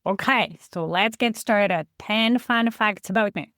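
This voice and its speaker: A woman's voice: medium pitch, a little slow, fairly bright tone, no echo, clean studio sound. Chatty woman in her forties, light Polish accent. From Poland. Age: 40s. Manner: chatty, reflective, counts through a list. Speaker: podcast host. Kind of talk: relaxed solo podcast monologue.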